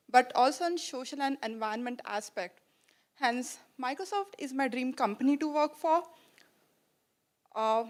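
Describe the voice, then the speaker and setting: A woman with a high voice delivers a rehearsed career pitch. She sounds earnest and recited, occasionally stumbling over a word and repeating it. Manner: earnest, recited, some slips. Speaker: pitch contestant.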